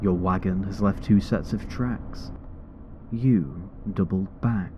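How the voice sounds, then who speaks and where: A man playing a deep, slow, haughty monster hunter. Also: mellow. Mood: cold, taunting. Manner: slow, formal, condescending. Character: menacing, haughty male rival monster hunter. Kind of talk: fantasy audio roleplay acting. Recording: dull sound with background noise.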